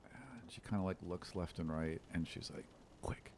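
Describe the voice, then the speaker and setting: A man working as game master, improvising narration in a casual, halting way as he sets the scene. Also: low voice, slight background noise. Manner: casual, halting, animated storytelling with sound imitations.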